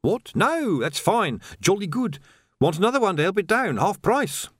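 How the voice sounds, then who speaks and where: A man's voice: sits high, big pitch swings, moderate pace, no echo, studio quality, pitched above the performer's own voice. A man voicing an eager, shifty young pie seller with a nervous salesman's patter, in an audiobook story. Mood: relieved. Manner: salesman's patter, flustered stammering.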